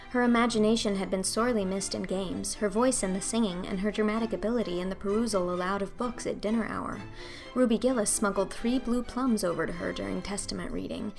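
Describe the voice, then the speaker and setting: A woman's voice: mid-range voice, vocal fry. A woman narrator reading a classic story aloud in a scripted audiobook style.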